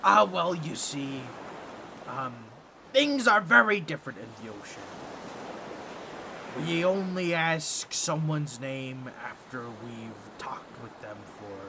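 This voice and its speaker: A man's voice: medium pitch, lively intonation, takes it slowly, background noise. Squawky, boastful octopus merman. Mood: awkward scheming. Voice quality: grating, squawky, nasal. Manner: theatrical, pompous, fumbling with awkward asides. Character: boastful, scheming, awkward talking octopus merman. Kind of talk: comedic audio roleplay addressed to listener.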